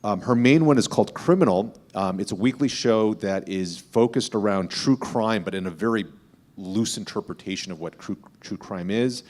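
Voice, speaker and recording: A man who hosts a podcast, speaking conversationally on a panel in a measured, explanatory way that often rephrases as he goes. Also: fairly low pitch, darkish tone.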